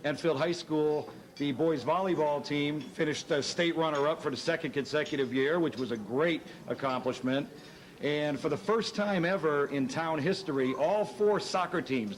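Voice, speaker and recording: Hoarse man emceeing a banquet. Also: a little high. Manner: chatty, self-deprecating, rambling. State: hoarse from shouting. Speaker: master of ceremonies. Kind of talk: casual speech at a banquet. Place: hotel banquet hall.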